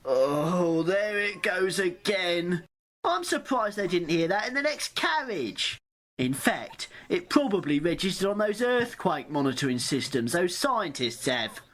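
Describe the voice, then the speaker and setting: A woman's voice: lowish voice, sing-song, unhurried. A woman playing a cheeky, hungry, argumentative train passenger in an amateur radio drama, mocking and grumbling. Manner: sarcastic, argumentative.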